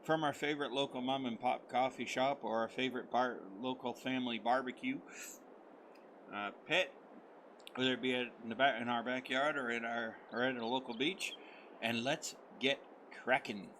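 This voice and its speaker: A middle-aged man from the United States, hoarse as he recovers his voice, rambling in friendly casual commentary over a farming game. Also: mid-range voice, fairly even intonation, normal speed, pushed and bright, a little hiss or hum. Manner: friendly, rambling, many fillers. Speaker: gaming channel host. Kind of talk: casual let's-play commentary.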